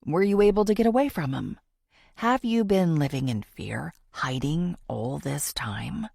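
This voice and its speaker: A woman narrator plays an earnest female true-crime podcaster in a thriller audiobook. She is confiding and theatrical, her lowish voice swinging expressively and breaking into fry. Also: animated, a little mellow, no echo, studio quality, exaggerated voice. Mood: pleading, insistent. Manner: confiding, theatrical, deliberate pauses.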